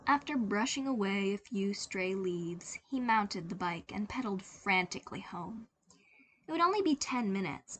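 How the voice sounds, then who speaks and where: A woman giving a scripted narration of a fiction story as a podfic reader. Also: fairly high pitch. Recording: faint background noise.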